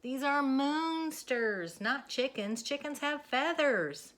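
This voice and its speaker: Storybook cow, female, played by a woman: bossy know-it-all, emphatic, excitable, high swooping delivery. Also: slow, heavily acted. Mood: insistent lecturing. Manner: emphatic, lecturing, exclaiming. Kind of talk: children's storybook character voice.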